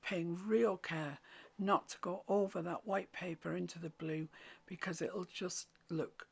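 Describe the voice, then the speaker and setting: A woman with a croaky voice, teaching a watercolour painting tutorial in soft, often half-whispered instructions. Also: fairly low pitch, fairly even intonation, measured pace. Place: home room with a mantelpiece.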